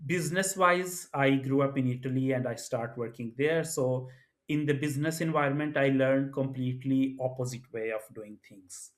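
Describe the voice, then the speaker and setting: A man's voice: medium pitch, moderate pace. A man from Pakistan co-hosting a business culture talk, speaking thoughtfully with many restarts. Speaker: co-host. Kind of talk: conversational podcast discussion.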